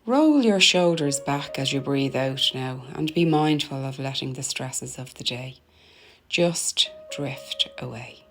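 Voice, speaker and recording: A woman narrating a guided meditation in a soothing, gentle, deliberate manner, letting her phrases end softly. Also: rumbling low, expressive. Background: meditation music.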